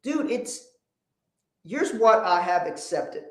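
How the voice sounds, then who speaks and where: A man's voice: sits high, expressive, slow pace, fairly bright tone, roomy sound. A man from Liberia, hosting a motivational livestream in a blunt, profane, emphatic way. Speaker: host.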